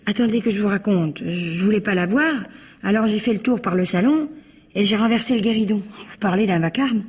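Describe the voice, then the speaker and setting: A woman acts out a young working-class housemaid in a vintage radio mystery. Her voice is husky and slightly rough, at an ordinary female pitch. She talks in a colloquial, naively frank way, cheeky and quick to defend herself. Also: darkish tone, lightly acted. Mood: chatty. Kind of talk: old French radio mystery acting.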